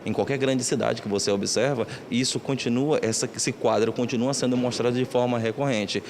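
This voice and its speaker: A man giving a formal interview statement, speaking in measured, policy-minded terms. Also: medium pitch, fairly even intonation, darkish tone, a little hiss or hum. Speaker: interviewee, author.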